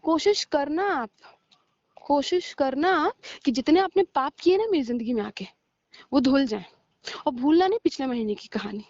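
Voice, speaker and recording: A woman's voice: high-pitched, moderate pace, a little mellow, dry recording. A woman arguing heatedly with a partner on a recorded phone call, scolding and sarcastic, slipping English words into her talk. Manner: mixes in English words and phrases.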